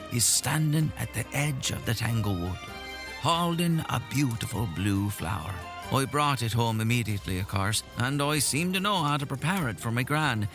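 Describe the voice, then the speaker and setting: Man acting animated old village storyteller. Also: medium pitch. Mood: earnest, wistful. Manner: theatrical, folksy, savouring the tale. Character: folksy old village storyteller, boastful, earnest and whimsical. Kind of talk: audio drama tale-telling.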